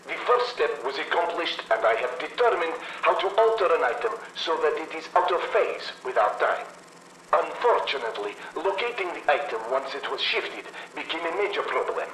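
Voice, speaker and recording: A man playing a stiff, earnest middle-aged Soviet scientist in a game, giving a formal, measured experiment log. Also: fairly high pitch, sing-song, a bit bright, far from the mic. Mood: proud, clinical. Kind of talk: scripted archival film narration. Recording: tinny, echoing, noisy old film soundtrack.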